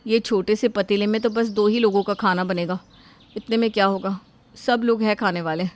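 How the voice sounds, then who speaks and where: A woman's voice: medium pitch, steady tone, normal speed, lightly acted. A woman voicing a haughty, bickering elder daughter-in-law in a household story, boastful and theatrical. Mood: scheming, fretful. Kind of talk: animated Hindi moral story dubbing.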